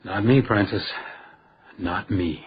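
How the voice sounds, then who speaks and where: An actor playing a shrewd, defiant house detective, speaking in a precise, dryly wry way in a radio crime drama. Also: male voice, lowish voice, slow, dull audio, noisy background. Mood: tense, wry.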